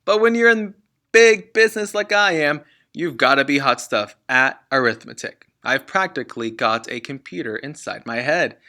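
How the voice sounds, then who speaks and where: Man performing a crooked boastful father, storybook character, smug, blustering, forceful tone, very animated pitch. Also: normal speed.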